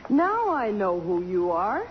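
An actress playing a brisk, wisecracking, loyal secretary with a wry, blunt manner in 1950s radio drama style. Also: female voice, mid-range voice, sing-song. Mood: wry, prying. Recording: muffled, noisy, reverberant old radio recording.

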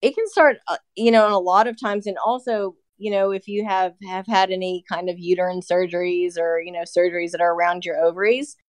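Chatty woman in her thirties, smooth and dry. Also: fairly low pitch, fairly even intonation, fairly quick, no echo. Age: 30s. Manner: chatty, explains with many fillers and "you know". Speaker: nurse practitioner guest. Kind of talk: casual podcast interview.

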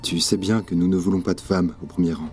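A man's voice: deep voice, fairly even intonation, fast, darkish tone, lightly acted. An actor playing a restrained revolutionary man of authority in an audio drama, giving grave, brief replies. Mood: grave, reluctant. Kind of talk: audio drama acting in French. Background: music.

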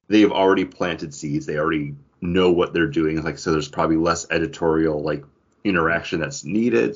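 Man, low voice, podcast co-host, casual discussion, conversational and explanatory, slightly muffled lo-fi audio. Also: husky. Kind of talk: casual group discussion about comics.

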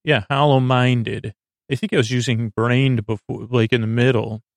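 A man hosting a sleep podcast with a meandering, halting bedtime monologue, often trailing off and repeating himself. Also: mid-range voice, fairly even intonation, dry recording. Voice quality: creaky.

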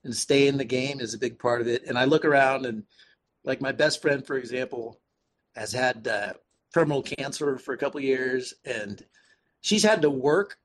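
A man speaking casually and earnestly as an interview guest, thinking aloud in a halting way. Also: mid-range voice, a little hiss or hum, rough recording. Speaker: podcast guest, activist and author. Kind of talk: casual conversational interview answers.

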